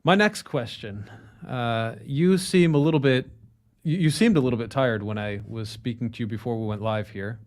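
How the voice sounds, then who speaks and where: A man's voice: medium pitch, normal speed. A man hosting a podcast interview, asking questions in a friendly, joking way. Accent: non-native speaker of Polish, foreign. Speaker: podcast host.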